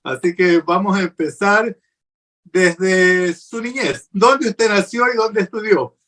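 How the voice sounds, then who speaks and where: A man hosts an interview program, courteous and upbeat as he welcomes his guest. His voice is a touch high for a man, and he fills pauses with small hesitations. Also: a bit bright. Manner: courteous, upbeat, many fillers. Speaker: host. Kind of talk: hosting an interview.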